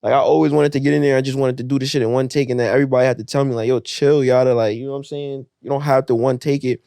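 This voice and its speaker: A man talking quickly and casually, with a warm, mellow tone. He fills his talk with "you know what I'm saying". The sound is dry and up close. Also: medium pitch, fairly even intonation, gravelly, dry recording. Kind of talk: casual chat.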